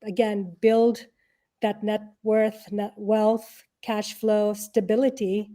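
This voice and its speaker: A woman's voice: medium pitch, level tone, slow pace, dark tone. A woman giving conversational interview answers as a guest, explaining informally and often posing rhetorical questions. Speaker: interview guest, real estate and angel investor.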